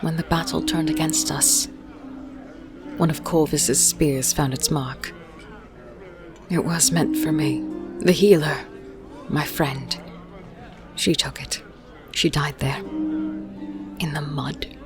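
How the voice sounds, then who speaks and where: Woman playing a slow, low-voiced healer. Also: steady tone. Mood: grieving. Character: guarded, quietly confident female healer and former rebel. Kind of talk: dramatic audio drama acting. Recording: music playing behind.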